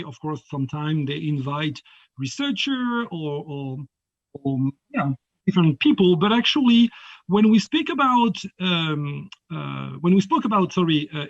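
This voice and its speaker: French guy giving a talk on a video call, kinda high voice, keeps repeating words, dull dry sound. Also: no echo. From France. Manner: halting, repeats words often. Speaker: guest lecturer. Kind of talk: online lecture.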